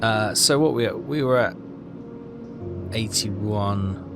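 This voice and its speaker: A man with a fairly low voice talks through a game over loud music. He is casual and hesitant, thinking aloud and reading bits of text as he plays. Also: slow, vocal fry. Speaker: game walkthrough player.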